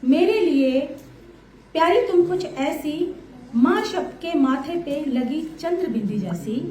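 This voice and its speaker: A woman's voice: high voice, lively intonation, slow pace, darkish tone. A woman reciting a short poem on stage before inviting poets, lyrical and repeating lines. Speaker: stage host. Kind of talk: poetry recitation and announcing. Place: large hall. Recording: echoey hall.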